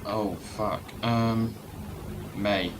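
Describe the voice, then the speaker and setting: Low-ish male witness voice, hesitant, halting answers, noisy game chat behind. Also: fairly even intonation. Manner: hesitant, many ums and restarts. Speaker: witness in a role-play court case. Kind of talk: casual role-play testimony.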